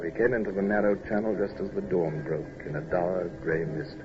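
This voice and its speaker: An actor playing a middle-aged British naval commodore in an old-time radio drama, clipped, commanding and urbane, who also narrates the battle. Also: male voice, deep voice, moderate pace, lightly acted. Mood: grave narration. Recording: dull, noisy old radio broadcast recording.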